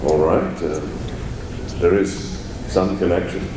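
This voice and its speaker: A man's voice: low voice, fairly even intonation, slow pace. An actor playing a troubled, mild-mannered male reporter hesitantly in 1940s radio drama style. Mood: troubled, reluctant. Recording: echoey, distant mic, noisy.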